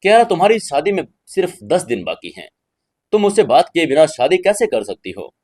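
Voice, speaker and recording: A man's voice: a little high, big pitch swings, fairly quick, a little mellow. A man voicing a middle-aged mother in an audio drama, coaxing and admonishing with worldly-wise insistence.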